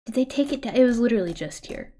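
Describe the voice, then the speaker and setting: A woman hosting a livestream, chatting casually in an expressive, exclamatory way. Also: on the high side, rapid-fire. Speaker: livestream host.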